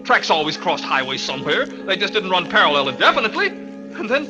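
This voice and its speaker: An actor playing a guilt-haunted man in a 1940s radio thriller, melodramatic and anguished, moving between hushed narration and frantic outbursts. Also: high-pitched, sing-song, a little fast. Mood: desperate, driven. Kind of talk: 1940s radio suspense acting, first-person narration. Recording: old 1940s radio broadcast, music behind.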